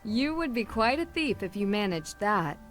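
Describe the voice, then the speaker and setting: A woman's voice: mid-range voice, sing-song, moderate pace, soft background music, natural voice. An actress playing a polite, inquisitive young female amateur detective in a video game. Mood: curious. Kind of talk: video game character dialogue.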